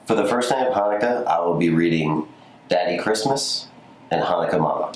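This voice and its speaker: A man's voice: low-pitched, fairly quick, faint music behind. A man reading a children's holiday picture book aloud as a scripted narration. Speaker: community story reader. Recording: roomy echo, faint background noise.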